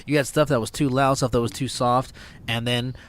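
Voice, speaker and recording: A man's voice: mid-range voice, fairly even intonation, a little fast, fairly bright tone. A man hosting a casual panel review of rap songs, loose and swearing, laughing often. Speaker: show host.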